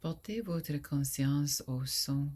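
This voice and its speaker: A woman guiding a sleep meditation in a soft, soothing voice that often drops to a breathy near whisper, with long pauses. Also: sits low. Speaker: yoga nidra guide.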